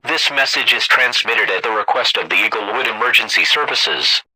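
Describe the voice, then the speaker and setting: A man playing a stern, formal emergency alert announcer, reading a scripted broadcast warning in a measured, authoritative way. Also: on the low side, pushed and bright, dry recording, heavily acted, low-quality recording.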